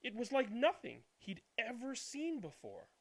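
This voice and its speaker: A man reading a children's picture book aloud as a storyteller, dramatically, with drawn-out words and hushed passages. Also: very high-pitched, huge pitch swings. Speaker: read-aloud storyteller and channel host. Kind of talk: expressive children's picture book read-aloud, then casual chat.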